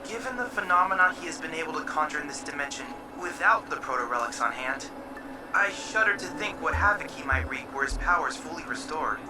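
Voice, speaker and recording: A woman voicing a composed, analytical scholar in a game, explaining in precise, formal, measured speech. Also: sits low, animated, far from the mic, over music, low-quality recording. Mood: thoughtful. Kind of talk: video game cutscene acting.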